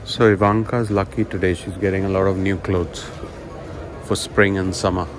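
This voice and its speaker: A man with a lowish voice narrates a casual walking tour of a large indoor shopping mall. He talks in a relaxed, conversational way, as if guiding friends. The phone-camera audio carries a clear wash of mall ambience behind him. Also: moderate pace, noisy background. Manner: relaxed, chatty tour-guide commentary. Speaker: vlogger. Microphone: handheld phone camera.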